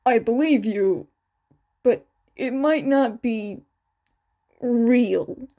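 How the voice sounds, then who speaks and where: A woman voicing a dry but caring teenage boy in a flat, deadpan delivery for a story reading. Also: a little high, very slow, muffled recording. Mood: flat concern.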